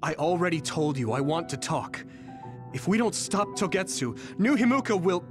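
A high-voiced, defiant young hero. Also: male voice, big pitch swings, moderate pace. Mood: earnest. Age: young adult. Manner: earnest, indignant. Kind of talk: anime-style video game voice acting. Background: dramatic background music.

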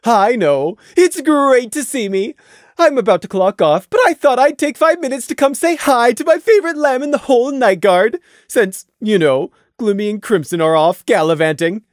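A woman voicing a bubbly, cheerful female pony officer who talks loudly and pushily. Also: a little high, animated, normal speed, dry recording, studio quality, pitched above the performer's own voice, natural voice. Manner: chirpy, pushy, loud.

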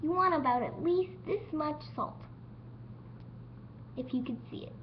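Woman, amateur cooking-show host, unscripted and a little halting, recorded on a camera mic with a dull, noisy sound. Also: high voice. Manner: unscripted, stumbling over words now and then. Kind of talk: homemade cooking demonstration. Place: home kitchen. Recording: dull lo-fi home video with noise.

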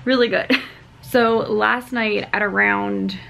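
A woman in her twenties chatting casually and haltingly to camera in an informal, conversational way. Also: mid-range voice, steady tone, creaky, a bit bright. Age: 20s. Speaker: vlogger. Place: hotel room, indoors.

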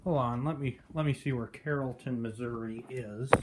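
A man's voice: medium pitch, fairly even intonation, moderate pace. A man casually thinking aloud while tuning a weather radio, recorded on a handheld phone in a bedroom at night. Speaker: radio hobbyist filming. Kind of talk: casual commentary. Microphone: phone camera held in hand. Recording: phone recording with static behind.

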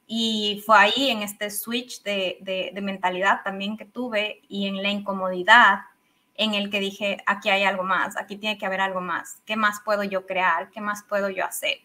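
A woman's voice: medium pitch, normal speed. A woman in her thirties from Ecuador with an Ecuadorian Spanish accent, a podcast guest chatting expressively about her coaching work over a video call. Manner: expressive, enthusiastic, many fillers and restarts. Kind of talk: casual interview chat. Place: home office with a big microphone. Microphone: studio microphone on video call.

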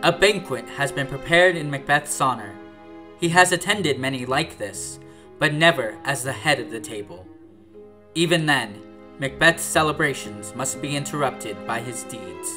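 A man's voice: mid-range voice, lively intonation, normal speed, bright, forceful tone, faint music behind. A man playing a solemn storyteller, reading scripted scene-setting narration for a radio drama.

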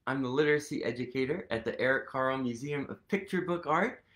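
A man in his thirties reading a picture book aloud to young children, expressive and full of questions for his listeners. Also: mid-range voice, fast. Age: 30s. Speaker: host, museum literacy educator reading aloud. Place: indoors, small quiet room at home.

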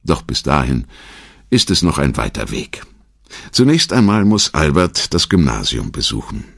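A man narrating a scripted documentary in a measured, storytelling manner. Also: very deep voice, sing-song, creaky, dry recording, clean studio sound.

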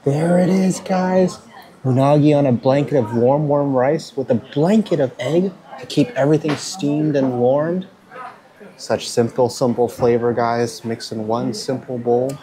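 A man's voice: mid-range voice, unhurried, mellow, low-quality recording. A man in his thirties vlogging restaurant meals at arm's length, upbeat and savoring each bite, calling out to his viewers. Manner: enthusiastic, keeps saying "guys", savoring with hums. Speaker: food vlogger. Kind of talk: casual food vlog narration. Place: restaurants in Kyoto, indoors and riverside outdoors. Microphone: handheld camera at arm's length.

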